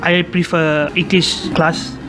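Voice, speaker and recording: A young man from Malaysia, a university student whose first language is Malay, answering interview questions in English with a Malaysian accent, halting and repeating words. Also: fairly high pitch, flat delivery. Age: young adult.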